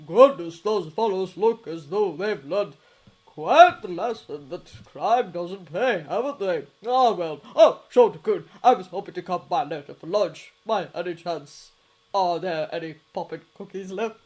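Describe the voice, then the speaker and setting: A woman voicing a cheery, booming man who speaks loudly and courteously. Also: a little slow, low-quality recording. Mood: jovial. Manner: loud, hearty and courteous. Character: cheery, booming older man, polite and gentle.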